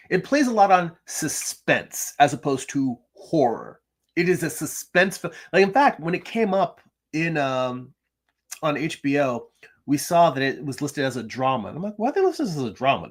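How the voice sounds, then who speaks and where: A middle-aged man hosting a drawing livestream from his home, chatting casually with viewers and reading their comments, his voice gravelly from little sleep, with many restarts and fillers. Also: fairly high pitch, sing-song, moderate pace. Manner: chatty, self-deprecating, frequent restarts and ums. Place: home room.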